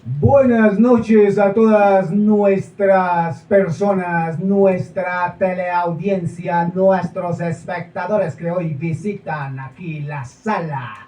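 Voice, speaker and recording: An actor telling a fable and greeting the audience like a show host, slow and measured. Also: male voice, sits high, fairly even intonation, dark tone. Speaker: stage actor and narrator. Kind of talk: storytelling and mock show hosting. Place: small theater with a live audience. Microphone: far camera. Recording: echoey room, far camera, faint noise.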